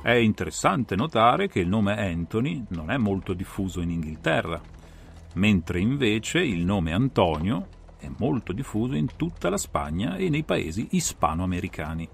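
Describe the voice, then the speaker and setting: A man playing a brilliant, self-assured detective who explains a case in a measured, didactic, theatrical way for a dramatised audiobook. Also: lowish voice, vocal fry, slight background noise. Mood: explanatory, deliberate. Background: music.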